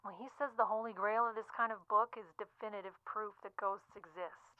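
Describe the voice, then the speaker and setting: Tinny-phone, pushy literary agent. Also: female voice, medium pitch, fairly even intonation, fairly quick, smooth, no echo. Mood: persuasive, breezy. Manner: breezy, slangy, cajoling. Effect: telephone filter. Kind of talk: audio drama acting, chatty phone-call delivery. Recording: tinny, narrow phone-line sound.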